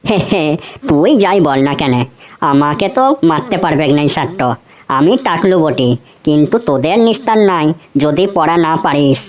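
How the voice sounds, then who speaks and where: A woman's voice: low voice, normal speed, dull audio, natural voice. A woman voicing a smug, mocking schoolboy in a comedy cartoon, taunting in rustic slang.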